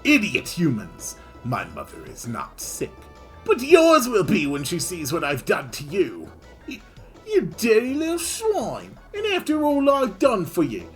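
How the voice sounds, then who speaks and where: A game player voicing a brash young female thief in loud, swaggering slang, raised high above their own voice. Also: sing-song, unhurried, soft background music. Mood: angry. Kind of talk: reading game dialogue aloud.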